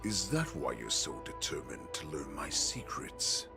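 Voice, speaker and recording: An actor voicing a menacing male wolf-beast warlord in a video game, gravelly, taunting and theatrical. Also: sing-song, over music, heavily acted. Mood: mocking, probing. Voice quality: deep, gravelly. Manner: taunting, theatrical, drawn-out delivery. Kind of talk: dramatic video game voice acting.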